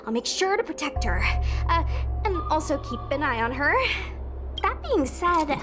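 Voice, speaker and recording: A woman's voice: high voice, big pitch swings, moderate pace. A woman voicing a spirited, protective young woman in a video game, brash, casual and confident. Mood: sheepish, earnest. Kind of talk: video game character dialogue. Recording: music behind the voice. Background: game music.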